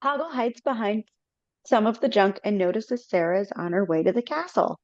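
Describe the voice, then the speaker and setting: A woman's voice: mid-range voice, expressive, normal speed, darkish tone, no room sound. A woman reading the stage directions aloud in plain, matter-of-fact narration. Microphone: video call. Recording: dull dry video call audio.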